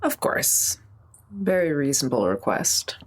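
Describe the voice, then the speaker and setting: A woman's voice: deep voice, sing-song, unhurried, husky. A woman chatting casually as a player in a tabletop roleplaying game, with a wry, informal manner. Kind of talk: casual in-character game chat.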